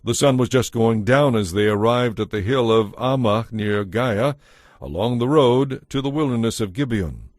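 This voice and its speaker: A man's voice: medium pitch, moderate pace, darkish tone, no room sound, clean studio sound. A man narrating a dramatized audio Bible as a scripted storyteller.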